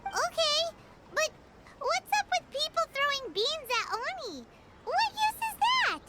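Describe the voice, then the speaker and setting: A woman voicing a tiny, excitable, fairy-like companion girl in a video game, with a squeaky childlike voice who refers to herself in the third person. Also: a little slow, very noisy, exaggerated voice. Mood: curious. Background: game music.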